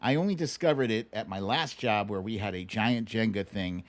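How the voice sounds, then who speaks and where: A man hosting a live show with casual, conversational commentary, using playful emphasis and dramatic pauses. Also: fairly low pitch. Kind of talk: casual livestream commentary, reading viewer comments.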